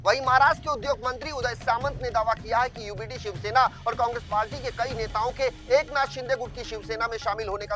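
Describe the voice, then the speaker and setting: A man reading a scripted news bulletin as anchor, formal and emphatic. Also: very high voice. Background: music bed.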